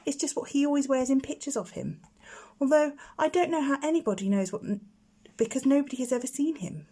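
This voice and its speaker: A woman's voice: high voice, a little fast, warm tone, voice pushed up, natural voice. A woman voicing a confident little schoolgirl in a storybook, chatty and proud, with a matter-of-fact know-it-all manner. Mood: explaining.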